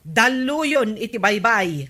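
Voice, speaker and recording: Exasperated wife, comic radio drama, sharp bright voice, unhurried scolding delivery. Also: female voice, on the high side, very animated, full character voice. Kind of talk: Ilocano radio comedy drama acting.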